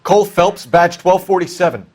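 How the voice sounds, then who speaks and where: An American actor playing an earnest, formal police detective who questions briskly in a video game. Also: male voice, high-pitched, a little mellow. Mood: businesslike. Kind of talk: video game dramatic acting.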